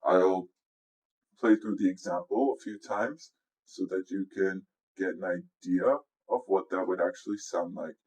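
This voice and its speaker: A man with a low-pitched voice gives a casual software walkthrough. He speaks as a music producer explaining his process, informal and instructive. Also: steady tone, measured pace, poor audio. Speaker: music producer giving a tutorial. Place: indoors, voice-over on a screen recording.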